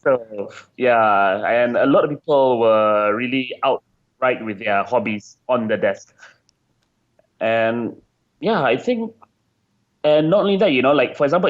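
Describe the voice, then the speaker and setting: Male interviewee, game designer. Mid-pitched, chatty and casual, searches for words with repeats. Slightly muffled, band-limited recording.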